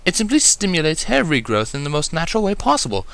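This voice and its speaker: Guy doing a prim fancy lady unicorn, high swoopy voice, dramatic and fussy, storybook character. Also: huge pitch swings, fast, creaky, a bit bright, full character voice. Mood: reassuring. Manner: prim, theatrical, lecturing. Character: refined, fussy, dramatic female unicorn seamstress.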